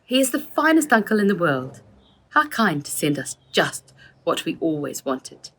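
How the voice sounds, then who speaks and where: A woman's voice: on the low side, big pitch swings, normal speed, fairly bright tone, heavily acted. A woman voicing an eager, admiring young boy in a children's story, earnest and keen.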